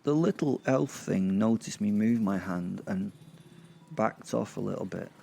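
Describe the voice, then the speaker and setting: A man narrating a horror audio drama in the first person, in character, with sardonic, halting delivery and dramatic pauses. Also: fairly low pitch, lively intonation, vocal fry, warm tone, faint music behind.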